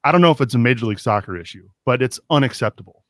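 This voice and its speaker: A Midwestern American man hosts a casual sports talk show with a middle-pitched voice. He gets heated and animated as he rants. The sound is dry and close to the mic. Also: very fast, no echo. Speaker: podcast host.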